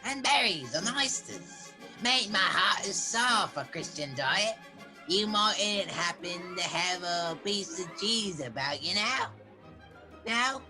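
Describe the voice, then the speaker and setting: A woman's voice: medium pitch, sharp tone, poor audio. A woman playing a half-wild marooned castaway sailor in a radio play, eager and rambling, with rustic sailor dialect. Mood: wistful, eager. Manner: rambling, repeats words, exclaiming. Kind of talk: children's theater radio play acting.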